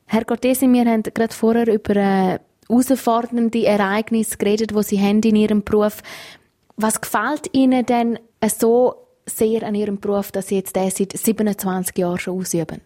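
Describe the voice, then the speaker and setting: A woman with a Swiss German accent hosting a radio interview and asking measured questions. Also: medium pitch, steady tone, warm tone. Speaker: radio host.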